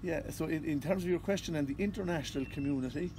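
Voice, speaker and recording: A man with an Irish accent, a psychotherapist answering interview questions in a calm, measured way. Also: on the high side, background noise. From Ireland. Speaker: psychotherapist and constellations facilitator.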